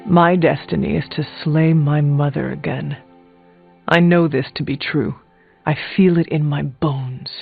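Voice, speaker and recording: An actor playing a stoic, fatalistic warrior hero in a video game, speaking in terse, formal, solemn sentences. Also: male voice, a little high, fairly even intonation, unhurried, gravelly. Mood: grimly resolved. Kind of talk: scripted video game character dialogue. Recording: tinny band-limited audio with music.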